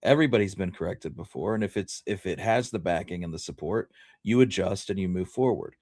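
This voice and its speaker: A man with a fairly low voice discusses history as a podcast co-host. He lays out his reasoning step by step in conversational language, measured and deliberate, recorded dry. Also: darkish tone, no echo.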